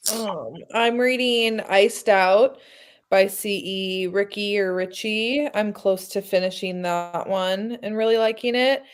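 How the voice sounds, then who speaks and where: Woman in casual book chat, mid-pitched. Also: slow, smooth. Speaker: book show co-host.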